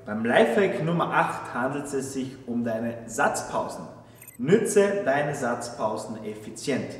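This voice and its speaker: A man's voice: mid-range voice, lively intonation, unhurried, distant mic. A young man coaching gym tips in an upbeat, emphatic way, with short punchy headlines, recorded in a large empty gym. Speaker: fitness coach. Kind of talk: scripted tips presentation. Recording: roomy echo of a large hall.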